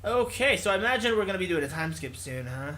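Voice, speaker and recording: A man's voice: sits high, big pitch swings, quick pace, bright, forceful tone. A man reading visual novel text aloud as a let's player, stumbling over words and correcting himself, with casual asides. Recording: music playing behind the voice.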